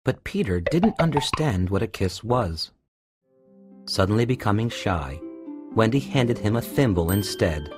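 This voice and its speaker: Man, storybook narrator, low-pitched, scripted children's tale, faint music behind. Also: expressive, unhurried.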